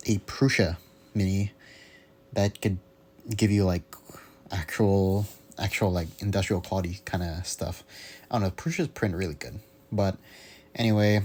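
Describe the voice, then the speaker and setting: A young man with a low-pitched voice talks off the cuff in a casual audio diary. He rambles along haltingly, often searching for the right word. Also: a little mellow. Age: young adult. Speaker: hobbyist vlogger. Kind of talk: casual unscripted audio log.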